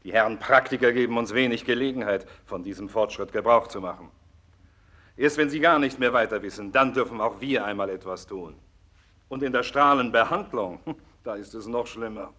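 A senior radiology professor in a 1960s radio drama. He has a medium-pitched voice and a blunt, wry way of instructing, like a mentor who has lost his illusions. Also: moderate pace, pushed and bright. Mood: bitter, resigned. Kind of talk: 1960s German radio play acting.

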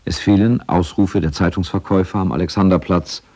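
A man's voice: low voice, steady tone, brisk, a little mellow. A man narrating a scripted radio documentary in a formal, factual manner.

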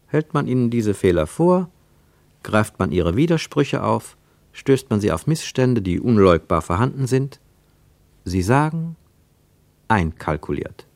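A man's voice: fairly low pitch, very animated, a little slow, vocal fry, a little mellow, no room sound, heavily acted. An actor narrating a radio play, voicing the bitter inner thoughts of a brooding man.

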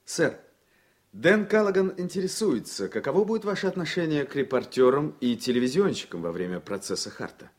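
An actor playing a polite, reserved young lawyer in a radio drama, terse and courteous, with a husky voice. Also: male voice, fairly high pitch, very animated, fairly quick. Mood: polite, probing. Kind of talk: Soviet-era radio drama acting.

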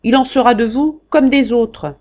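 A woman reading an audiobook, voicing an irascible old marquis who shouts and orders his secretary about. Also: on the high side, normal speed, dull audio, voice pushed up, close to an everyday voice. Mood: angry, imperious. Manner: blustering, imperious, raised voice. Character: irascible old male marquis, tyrannical employer.

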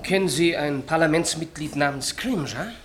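A man's voice: fairly high pitch, normal speed, a crowd in the background, lightly acted. An actor playing a proud, earnest young surgeon seeking his fortune in a German radio play, speaking formally. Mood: earnest, hopeful.

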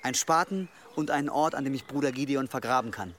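An actor playing a humble, resigned outcast monk, quiet and pious, in a radio drama. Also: male voice, medium pitch, brisk, slight background noise, natural voice.

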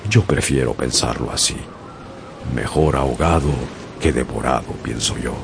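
An actor narrating as a young sailor who survived a shipwreck, in grave, measured storytelling. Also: male voice, rumbling low, vocal fry, background noise. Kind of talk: dramatic audiobook narration. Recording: loud music bed under narration.

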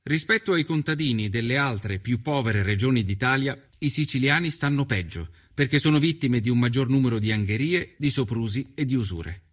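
Actor as earnest, measured 19th-century journalist. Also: male voice, medium pitch, expressive, close to an everyday voice. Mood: grave, indignant. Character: earnest Italian journalist of the 1890s recounting his travels. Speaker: voice actor narrator. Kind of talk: scripted first-person documentary narration.

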